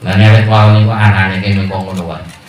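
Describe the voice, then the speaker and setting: A man with a Javanese accent preaching a sermon at a village religious gathering, mixing jokes with loud calls and low asides. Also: fairly even intonation, a little fast, soft background music. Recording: echoey PA sound from far off.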